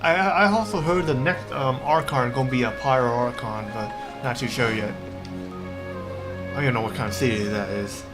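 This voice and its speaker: Female livestreamer: very deep register, offhand halting commentary, music underneath. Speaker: game livestreamer. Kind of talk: casual reactive game commentary. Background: game music.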